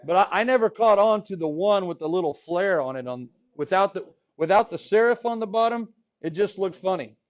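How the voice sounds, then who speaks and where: A man in his forties from the Rio Grande Valley, teaching a high school math class in a playful, joking way, his voice a bit hoarse. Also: animated, fairly quick, hardly any pauses. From Texas Rio Grande Valley. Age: 40s. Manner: playful, jokey, talks to the class. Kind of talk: classroom lecture. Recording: dull lo-fi classroom recording.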